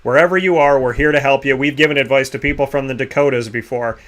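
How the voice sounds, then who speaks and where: A man hosting a sports radio show, giving rambling, energetic shout-outs and sponsor plugs. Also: medium pitch, fast talker. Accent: Boston. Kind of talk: enthusiastic sign-off with sponsor plugs.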